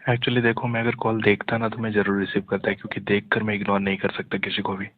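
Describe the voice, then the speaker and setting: A man's voice: on the low side, little pitch movement, fast talker, no echo. A man talking casually and privately with someone on a phone call. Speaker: call recipient in a phone conversation. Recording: dry, narrow tinny phone line.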